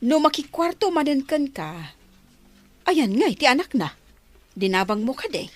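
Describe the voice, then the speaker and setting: An actress with a Filipino accent playing a chatty, nosy older aunt in a radio drama. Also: female voice, high-pitched, very animated, unhurried, dry recording. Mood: questioning.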